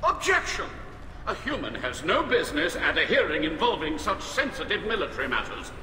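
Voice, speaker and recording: An actor playing a haughty, argumentative alien admiral in a video game, with a pompous, clipped delivery. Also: male voice, on the high side, sing-song, fairly quick, bright, forceful tone. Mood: indignant. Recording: echoey hall, noisy background.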